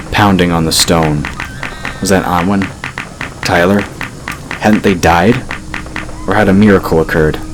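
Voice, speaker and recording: A man's voice: low-pitched, low-quality recording. A man reading scripted fantasy narration in a dramatic storytelling style, as an audiobook narrator. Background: ambient sound effects.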